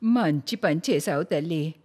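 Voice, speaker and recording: A woman's voice: deep voice, measured pace, darkish tone, slightly rough. Cartoon moral tale: sour old mother-in-law, doting on her own daughter, reverberant sound. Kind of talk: cartoon moral story voice acting.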